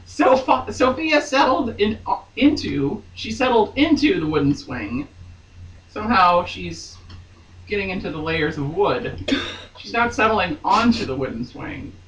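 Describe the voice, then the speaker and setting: A roomy, slightly distant recording with faint noise carries a woman reading fiction aloud. She is theatrical and hammy, swinging widely in pitch, and slips between mock drama and jokey, chatty commentary. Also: animated, a little slow. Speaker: podcast co-host reading a novel aloud.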